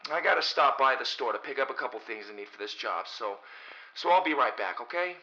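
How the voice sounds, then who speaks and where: A man's voice: medium pitch, animated, fairly quick, sharp tone, lo-fi sound. A man playing an earnest, anxious and wry private detective in a 1930s radio mystery, exclaiming urgently and stammering when evasive.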